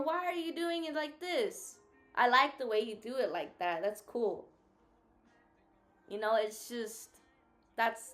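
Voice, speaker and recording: A woman's voice: on the high side, fairly bright tone. A tired woman whose first language is Spanish, co-hosting a casual reflective chat and talking thoughtfully while searching for her words. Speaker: co-host.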